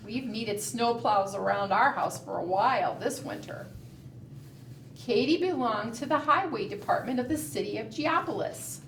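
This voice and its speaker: A woman reads aloud to preschoolers as a storytime leader. Her voice is high and her pace is very unhurried, with a sing-song lilt and questions for the young listeners. The sound is echoey, as if picked up in a room. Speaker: children's librarian leading preschool storytime. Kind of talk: read-aloud storytelling and fingerplay for young children. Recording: roomy, distant mic, faint background noise.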